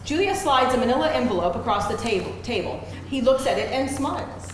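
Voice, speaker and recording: A woman's voice: fairly high pitch, expressive, a little fast. A woman reading stage directions as a scripted narrator at a live table read. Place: live event room before an audience. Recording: very echoey live room, faint noise.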